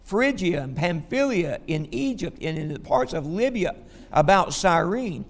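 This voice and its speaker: Gravelly Southern preacher in a hall. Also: male voice, high voice, very animated, a little slow. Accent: Southern American. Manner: folksy, asks the congregation "okay?" often. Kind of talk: sermon.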